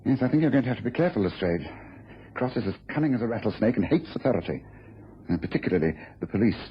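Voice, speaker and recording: An actor playing a shrewd, self-assured English detective in a British radio drama, speaking briskly, precisely and knowingly. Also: male voice, lowish voice, animated, lightly acted. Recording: dull old radio recording, faint noise.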